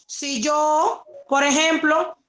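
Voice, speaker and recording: A woman's voice: high-pitched, fairly even intonation, very slow, a bit bright, poor audio. A woman giving an informal spoken answer as a student in an online class. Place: video conference call.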